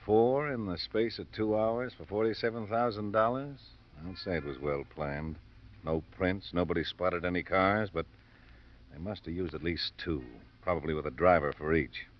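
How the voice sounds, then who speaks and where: An actor playing a harried police lieutenant, weary, sardonic and grumbling, in 1950s radio drama style. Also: male voice, low voice, normal speed, natural voice. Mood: weary, explaining. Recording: muffled, noisy old radio recording.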